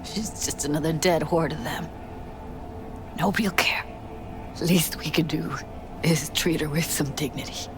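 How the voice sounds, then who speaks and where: A woman's voice: sits low, fairly even intonation, measured pace, pauses often. A woman acting a tough young woman in grief and shock for a video game, blunt, profane and emotionally raw. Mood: subdued, grieving. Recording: music playing behind the voice. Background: game music.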